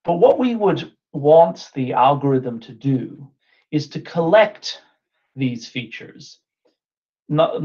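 A man in his thirties giving an online talk and answering questions, thinking aloud with restarts and fillers, over a webcam video call. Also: medium pitch. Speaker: invited speaker. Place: indoor room with whiteboard. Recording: dull lo-fi video call audio.

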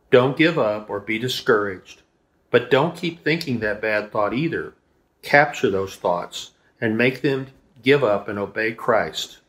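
A man's voice: fairly low pitch, a bit bright. Middle-aged man reading aloud. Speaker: children's devotional reader. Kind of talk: reading a children's devotional aloud. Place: indoors in a room at home, seated at a table.

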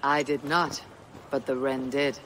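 A woman's voice: a bass voice, flat delivery, unhurried, a bit bright, slight background noise. An actress playing a stoic female Viking warrior in a video game, terse and resolute. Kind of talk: video game cutscene acting.

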